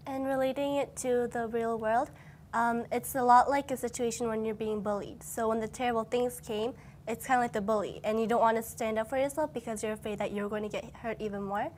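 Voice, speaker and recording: A woman's voice: on the high side, fairly even intonation, brisk, a little mellow, slight background noise. A young teen female student in eighth grade explaining her thoughts about the lesson in a thoughtful way.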